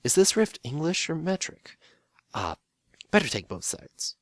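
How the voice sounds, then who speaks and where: Wry, easygoing handyman pony for a storybook reading, voiced by a man, casual and raised in pitch. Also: big pitch swings, unhurried, a bit bright, dry recording, studio quality. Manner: casual, wry, matter-of-fact.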